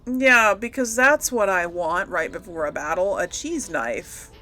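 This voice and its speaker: A woman's voice: medium pitch, animated, a little slow, pushed and bright, a little hiss or hum. A woman hosting a casual gameplay video, thinking aloud as she plays. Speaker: gaming video host. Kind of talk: casual role-play gameplay commentary.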